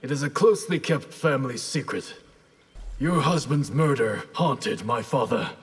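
An actor playing a stern, honorable ninja grandmaster in a video game, speaking in a terse, resolute, formal way. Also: male voice, medium pitch, moderate pace, breathy edge. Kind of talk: video game character acting.

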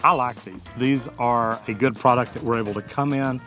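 A man's voice: mid-range voice, moderate pace, pushed and bright. A man, explaining a hands-on job in a casual, folksy way as a plumber hosting a tutorial. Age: 50s to 60s. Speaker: plumber and video host. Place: outdoors beside a brick house. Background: music.

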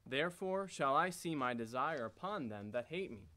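Bright, forceful man reciting scripture. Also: mid-range voice, lively intonation, moderate pace. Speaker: readers theater performer. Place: church stage before congregation. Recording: noisy church hall recording.

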